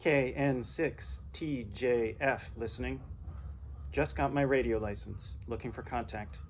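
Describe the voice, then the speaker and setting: American man, middle-aged, with noisy outdoor background. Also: mid-range voice. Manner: deliberate spelled-out call signs. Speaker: amateur radio beginner, vlogger. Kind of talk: radio calls and casual narration to camera. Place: outdoors in a yard and porch.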